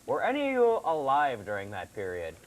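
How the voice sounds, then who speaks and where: A man hosting a comedy puppet segment, talking haltingly as he banters and tries to keep a straight face. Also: medium pitch, wildly swooping pitch. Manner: halting, breaks into chuckles. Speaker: host. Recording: noisy amateur TV recording.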